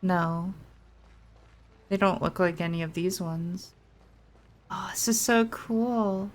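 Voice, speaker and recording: A woman's voice: lowish voice, slow. A young adult woman giving casual gameplay commentary, rambling and thinking aloud in an informal way. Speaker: gaming streamer. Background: faint game music.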